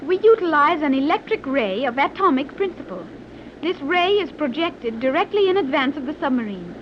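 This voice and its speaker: A woman's voice: sits high, big pitch swings, normal speed. A coolly confident young woman from a secret advanced island pilots her submarine. Her phrasing is formal and precise, in 1930s serial style, on a dull old recording with light hiss. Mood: calm, assured. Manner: formal, precise, stilted phrasing without contractions. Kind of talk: 1930s radio serial acting.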